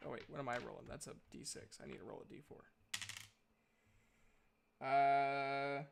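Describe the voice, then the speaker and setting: A man acting as game master in a casual tabletop roleplaying session, thinking aloud in a halting way as he works out dice and rules. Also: mid-range voice, steady tone, vocal fry, background noise.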